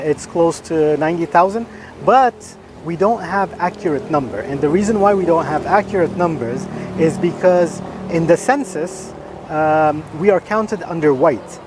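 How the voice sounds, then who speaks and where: A man speaking as a community advocate in a news interview, earnest and halting with frequent fillers. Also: fairly high pitch, noisy background. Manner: earnest, hesitant with many fillers. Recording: music under the voice.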